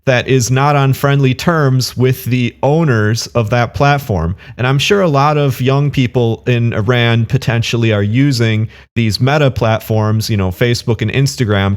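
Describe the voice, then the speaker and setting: A man giving casual, argumentative reaction commentary on a video. He is dismissive and keeps circling back with fillers. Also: medium pitch. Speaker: online commentator.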